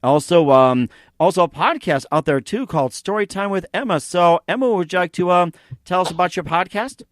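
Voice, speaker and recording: A man's voice: high-pitched, brisk, no room sound, studio quality. A man hosting a podcast in an effusive, enthusiastic way. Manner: gushing, effusive. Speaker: podcast host. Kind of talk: enthusiastic interview chat with read sponsor plugs.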